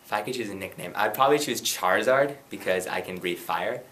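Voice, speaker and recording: A young man in his twenties from Pakistan, a student candidate giving an earnest campaign pitch and then answering light interview questions. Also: expressive, sharp tone, a little hiss or hum. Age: 20s. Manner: earnest, rapid and persuasive.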